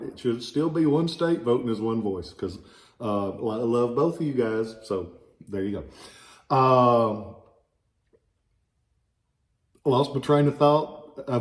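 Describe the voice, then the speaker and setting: A man with a light Southern accent talks casually about old ballots, drifting into folksy asides and jokes. His voice sits at an ordinary middle pitch. The recording is roomy, with a clear echo off the walls. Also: a little mellow. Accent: slight Southern American. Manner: rambling, folksy, joking asides. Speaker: relic dealer showing ballots. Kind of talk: casual informational video. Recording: echoey room.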